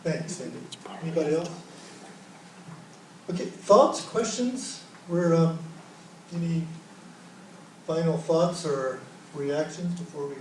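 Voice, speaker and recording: A man's voice: a little high, slow pace, long pauses, a little mellow. A man presenting at a workshop, leading an open group discussion and often restarting his sentences. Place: conference room, echoey. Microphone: room mic from a distance. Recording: echoey room, distant mic, faint noise.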